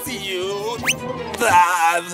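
Stern cartoon official, drawn-out commands. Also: male voice, high voice. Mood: commanding. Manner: barking short commands. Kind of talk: animated children's cartoon dub. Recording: loud music over the voice.

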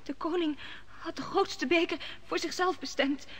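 A woman's voice: very high voice, steady tone, moderate pace, a bit bright. An actress playing a frightened young servant girl in an old radio play, reporting news hesitantly. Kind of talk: 1950s radio drama acting.

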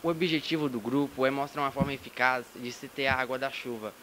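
A man's voice: mid-range voice, steady tone, fast, noisy background. A teenage boy explaining his group's rainwater project. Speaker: student presenter.

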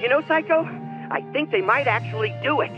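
An actor playing an anxious, apologetic cabaret boss speaking over the phone in a video game drama. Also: male voice, very high voice, expressive, brisk, muffled recording, over music. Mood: hopeful, urgent.

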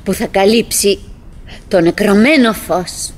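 An actress playing a cheerful, fretful middle-aged wife who muses to herself in fragments and sighs in a live stage monologue. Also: female voice, mid-range voice, natural voice. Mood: plaintive. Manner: fragmented musing with sighing exclamations. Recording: noisy 1979 live theatre recording.

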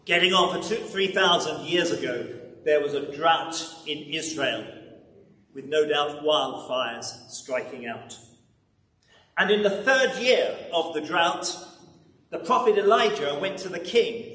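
A man's voice: on the high side, a bit bright. Older male preacher, echoey church. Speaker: minister preaching. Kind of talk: sermon with dramatic storytelling. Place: church, at a lectern. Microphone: lapel microphone. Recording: echoey church, distant sound.

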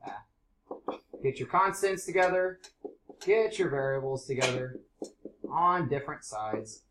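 A man with congested allergies, teaching a high school math class in a casual, chatty way. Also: unhurried, fairly bright tone, slight background noise, poor audio. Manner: casual, chatty explaining with fillers. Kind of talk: classroom lesson.

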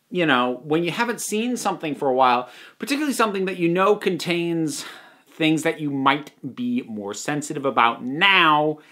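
Bright, higher-voiced man reviewing casually. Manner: animated, rambling, with many asides and self-corrections. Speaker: film reviewer and vlog host. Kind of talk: casual unscripted movie review to camera. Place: small indoor room with shelves.

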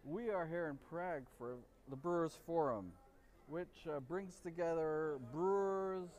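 A man's voice: fairly high pitch, unhurried, darkish tone. Jokey man hosting outdoors at a bar. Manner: loose, jokey, stumbles over names. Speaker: podcast co-host. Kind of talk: casual chat reading from a prompter. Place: outdoor courtyard of a city bar.

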